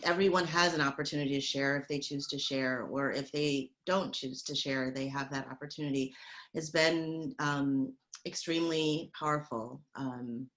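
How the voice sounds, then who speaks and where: A woman speaking as a panelist in a hesitant way, with many pauses, restarts and fillers. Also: extremely low pitch, steady tone, a bit bright. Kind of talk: reflective panel talk.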